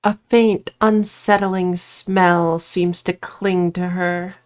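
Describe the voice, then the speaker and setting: Unhurried woman narrating a horror game. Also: on the low side, fairly even intonation, smooth. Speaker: player narrating a game playthrough. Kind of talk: storytelling read-aloud of game text with casual commentary.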